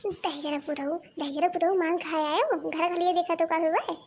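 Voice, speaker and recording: A fretful cartoon village wife with a piercingly high voice and an Awadhi accent. She complains and scolds in wailing exclamations, like a put-upon mother in a rustic comedy. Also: moderate pace, a little hiss or hum. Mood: exasperated complaining.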